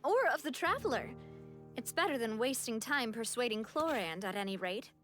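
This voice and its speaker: A woman's voice: a little high, animated, normal speed, a bit bright. A woman playing a confident, friendly young female community leader in an anime game. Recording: game music behind.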